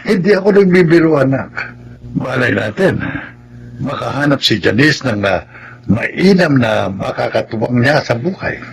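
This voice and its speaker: A man's voice: mid-range voice, expressive, normal speed, creaky, slightly rough, heavily acted, low-quality recording. An actor playing a concerned, meddling older father in a Tagalog radio drama. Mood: fatherly, concerned.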